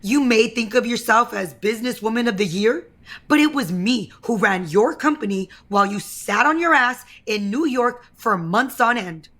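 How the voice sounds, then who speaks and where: A woman's voice: a little high, lively intonation, normal speed, fairly bright tone. An actress playing a scheming, ambitious young woman in an audio soap opera, with a taunting, self-assured and confrontational manner. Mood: smug, taunting. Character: scheming, brazen ambitious young woman, office power player.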